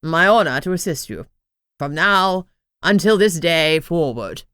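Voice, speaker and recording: A woman voicing a posh, disgruntled male talking crown who speaks in a pompous, gentlemanly way. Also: low-pitched, lively intonation, measured pace, clean tone, no echo, clean studio sound. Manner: pompous, formal.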